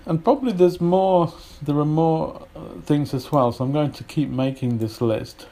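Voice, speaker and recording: A man's voice: on the high side, moderate pace, dark tone. A man from Britain whose British English accent carries some Polish influence, teaching an informal English lesson in a rambling, hesitant way with many fillers and restarts.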